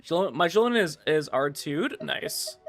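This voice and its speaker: A man's voice: fairly high pitch, lively intonation, unhurried, a bit bright, soft background music. A man livestreaming a game, chatting casually and giving commentary in a slangy, informal way full of filler words. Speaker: livestreamer playing a gacha game.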